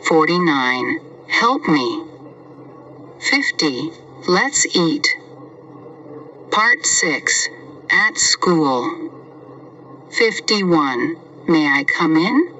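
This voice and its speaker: A man's voice: fairly high pitch, very animated, drawn-out words, pauses often, bright, forceful tone, distant mic, highs cut off, a little hiss or hum. A man teaching a beginners' English lesson, reading numbered sentences and saying each one twice. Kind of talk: slow scripted language lesson with repetition.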